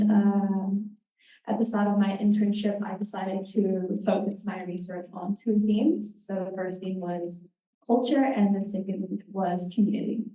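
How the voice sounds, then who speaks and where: Young New woman, earnest halting presenter, dark mellow tone, heard from afar in a roomy hall. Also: mid-range voice. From New Zealand. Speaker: intern presenter. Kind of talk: conference presentation. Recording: roomy hall, distant mic.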